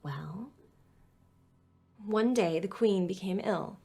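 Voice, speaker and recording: A woman's voice: medium pitch, slow, vocal fry, mellow, husky, full character voice. A young woman playing a cheerful, patient tutor in a web series, upbeat and playfully teasing, acted naturalistically. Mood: gentle storytelling.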